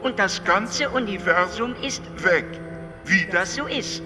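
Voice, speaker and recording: A male actor playing a calm, detached alien who explains things matter-of-factly in a radio drama, at times stuttering on syllables. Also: high voice, measured pace. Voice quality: stuttering, glitchy repeated syllables. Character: detached, matter-of-fact stammering alien being. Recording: music under the voice. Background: music.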